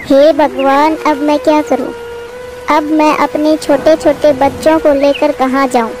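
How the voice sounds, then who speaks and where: Woman playing gentle young mother bird, very high voice, plaintive, devoted, cartoon delivery, music underneath. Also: level tone, measured pace. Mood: distressed, pleading. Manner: pleading, plaintive. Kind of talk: Hindi moral-story cartoon voicing.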